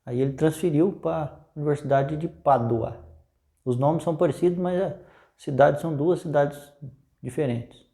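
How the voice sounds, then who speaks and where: A man's voice: on the high side, normal speed, vocal fry, mellow. A man with a Brazilian accent presenting history in informal, unscripted storytelling, conversational and often checking in with tag questions.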